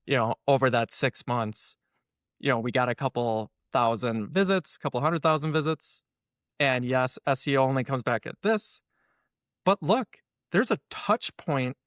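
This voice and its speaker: A man's voice: medium pitch, dry recording, clean studio sound. A man speaking as an interview guest, casually and with strong opinions, acting out imagined exchanges as he explains. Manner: animated explainer who acts out hypothetical dialogue. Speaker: interview guest, SEO consultant.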